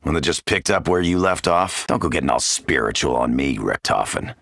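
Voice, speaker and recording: Gravelly, cocky American soldier. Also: male voice, a bass voice, animated, a little fast, fairly bright tone, no echo, studio quality, exaggerated voice. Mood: wary, confiding. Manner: brash, swaggering, wisecracking. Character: gruff, cocky macho soldier fighting zombies. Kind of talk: video game character voice lines.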